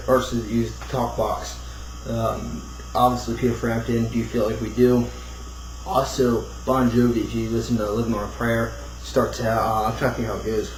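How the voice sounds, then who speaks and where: A man giving a casual, unscripted hobbyist demo, rambling and thinking out loud as he goes. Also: lowish voice. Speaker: hobbyist demonstrating a homemade build. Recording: roomy echo, clear background noise.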